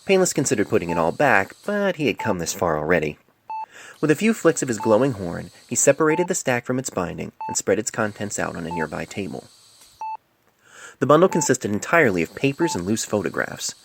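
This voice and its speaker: A man reading his own scripted fiction aloud in a measured, literary storybook style, recorded on a cell phone microphone. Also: lowish voice, vocal fry. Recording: noisy amateur cellphone recording.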